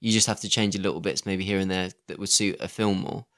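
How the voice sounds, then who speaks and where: A man's voice: low voice, steady tone, quick pace, a bit bright. A man co-hosting a film podcast, leading a casual discussion in a conversational, questioning way. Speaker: podcast co-host.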